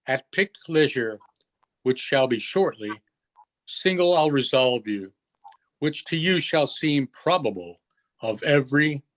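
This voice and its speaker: A man playing a dignified sorcerer duke in a Shakespeare reading, measured and formal. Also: medium pitch, fairly bright tone, lightly acted, rough recording. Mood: reassuring, commanding. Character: commanding, dignified sorcerer duke reciting verse. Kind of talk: unrehearsed Shakespeare play reading.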